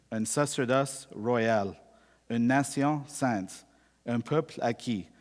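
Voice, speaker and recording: A Canadian man whose first language is English, preaching a sermon in measured, deliberate speech and slowing down to read scripture. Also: mid-range voice, big-room sound.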